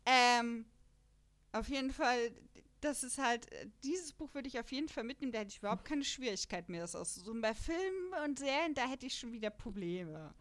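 A woman chatting casually as a podcast co-host, animated and rambling in manner. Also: fairly high pitch, a little fast. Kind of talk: casual chat.

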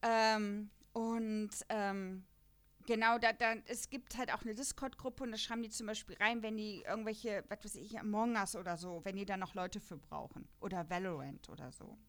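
A woman's voice: medium pitch, fairly even intonation, moderate pace. A woman with a German accent chatting casually as a podcast host, in a jokey, self-deprecating manner. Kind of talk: casual podcast chat about an audio drama series.

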